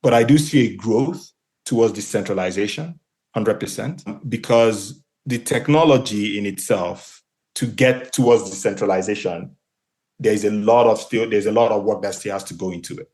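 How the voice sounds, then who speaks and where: A man's voice: on the low side, moderate pace, darkish tone. A man from Nigeria whose first language is Igbo, speaking as a podcast guest, thoughtful and full of fillers and restarts. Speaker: guest, marketplace co-founder and CEO. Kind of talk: podcast interview answer.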